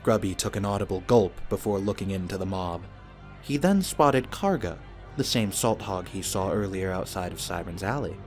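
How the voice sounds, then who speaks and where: Low-voiced man narrating over music. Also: animated, normal speed, darkish tone. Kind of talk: scripted audio drama narration.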